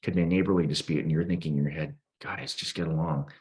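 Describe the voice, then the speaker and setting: Very deep-voiced man hosting a podcast. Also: fairly even intonation, fast talker, a little mellow. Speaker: podcast host, police officer. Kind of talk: reflective conversational interview.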